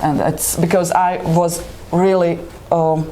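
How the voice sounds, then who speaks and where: Slovak woman, low voice, hesitant speech. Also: little pitch movement, takes it slowly, dark tone. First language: Hungarian. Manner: hesitant, many fillers and restarts. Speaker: guest poet. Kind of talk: conversational interview answers. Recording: noisy room ambience.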